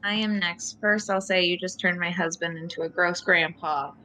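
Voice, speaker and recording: A woman's voice: fairly low pitch, steady tone, normal speed, pushed and bright, a little hiss or hum. A woman playing a tabletop game, chatting casually in a blunt, sweary, playful way. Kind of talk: casual chat.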